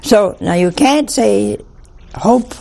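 An older woman, a nun, giving an informal spiritual talk in a hoarse voice, chatty and humorous. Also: mid-range voice, lively intonation, slow pace, warm tone. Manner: chatty, humorous, laughs at own stories.

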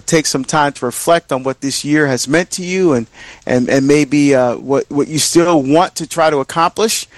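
A middle-aged man from Barbados hosting a religious radio talk, speaking conversationally in an earnest, halting way with repeated phrases. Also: on the high side, no room sound. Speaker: religious radio host and deacon.

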